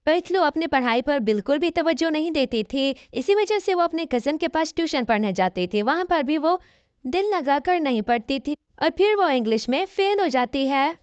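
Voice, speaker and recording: High-pitched woman narrating a story. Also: animated, normal speed, no room sound. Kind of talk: scripted cartoon story narration. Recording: dull, lo-fi audio.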